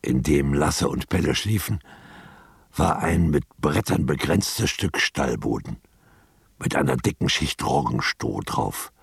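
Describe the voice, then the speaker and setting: A man narrating a scripted radio drama as a measured storyteller. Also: extremely low pitch, animated, vocal fry, husky, no room sound, studio quality.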